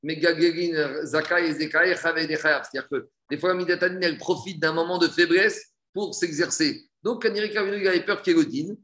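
A man's voice: a little high, brisk, hardly any pauses, a bit bright, a little hiss or hum. A man giving an explanatory religious text lesson as a teacher, reading and translating in a didactic way, repeating phrases and answering his own questions. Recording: echoey room.

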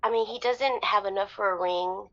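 A woman playing a shy, lovelorn radio caller in an improvised audio drama, halting and apologetic with nervous hesitations. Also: medium pitch, muffled recording, close to an everyday voice. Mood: hesitant. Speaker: caller to radio advice show.

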